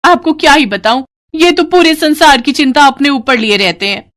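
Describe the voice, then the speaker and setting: A woman's voice: sits high, moderate pace, a bit bright. A woman voicing a caring rich man's wife who fondly chides her husband in a cartoon story. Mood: fond, chiding. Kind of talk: Hindi cartoon story acting.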